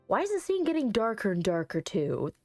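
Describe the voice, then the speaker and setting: A woman's voice: medium pitch, huge pitch swings, brisk, dry recording, soft background music. A woman giving wry, chatty running commentary as she plays a story game. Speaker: streamer reading a visual novel aloud. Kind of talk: playthrough commentary with reactions.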